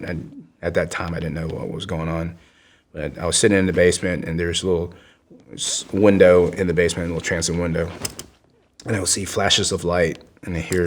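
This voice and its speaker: A man in his fifties, from Vietnam with Vietnamese as his first language, earnestly telling his life story in a podcast interview with frequent restarts. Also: sits low. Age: 50s. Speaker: guest, congressional candidate.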